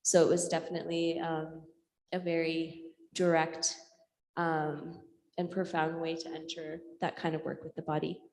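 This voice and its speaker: A woman from India, a native Urdu speaker, giving an informal artist talk in a reflective, hesitant way with frequent pauses and ums. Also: low-pitched, monotone, a little mellow. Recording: echoey hall with long reverb.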